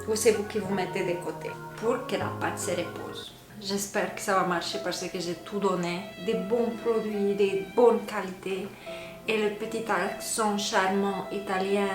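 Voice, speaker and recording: A woman's voice: mid-range voice, steady tone, moderate pace. A woman in her home kitchen making a cooking vlog, with a playful, self-mocking way of talking. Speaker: cooking vlogger. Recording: echoey room with background music. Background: background music.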